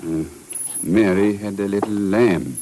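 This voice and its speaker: Low-voiced, formal police inspector played by a man. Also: unhurried, mellow, natural voice. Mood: puzzled, thoughtful. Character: courteous, inquisitive male police inspector. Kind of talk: 1950s radio thriller acting. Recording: noisy old radio recording.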